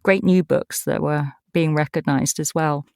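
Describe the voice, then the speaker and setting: A middle-aged English woman speaks in a fairly low voice, recorded close and dry. She talks casually and conversationally, answering as an interview guest. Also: steady tone, a little slow, a little mellow, dry recording. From East Anglia, England. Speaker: podcast guest, voice actor.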